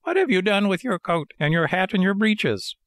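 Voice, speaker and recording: A kindly, inquisitive old villager from a storybook, played by a man. He speaks in a raised, lilting pitch with an earnest, questioning manner. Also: animated, moderate pace, a bit bright, dry recording, studio quality. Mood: curious. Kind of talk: storybook character voice in audiobook narration.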